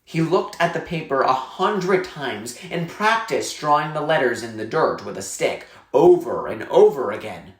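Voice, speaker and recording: A man's voice: a little high, big pitch swings, normal speed, few pauses. A man narrating a story in a scripted read-aloud style. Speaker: reader reading a novel aloud. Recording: echoey room.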